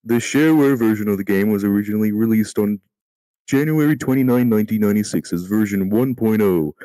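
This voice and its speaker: Bright-voiced man stumbling through reading aloud. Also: lowish voice, fast talker, no echo, poor audio. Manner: stumbling over words, casually profane. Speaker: amateur reader in a group voice call. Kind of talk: reading an encyclopedia article aloud.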